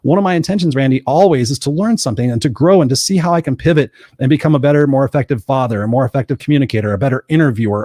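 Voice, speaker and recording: Fast-talking energetic male motivational host. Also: mid-range voice, no echo, studio quality. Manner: energetic, storytelling, earnest. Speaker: podcast host. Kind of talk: motivational live show chat.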